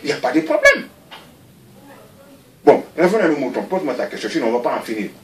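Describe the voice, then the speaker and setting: Animated man, rambling, voice swinging up and down. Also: a little high, fast, echoey room, distant mic. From Mali. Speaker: interviewee. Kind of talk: recorded video interview.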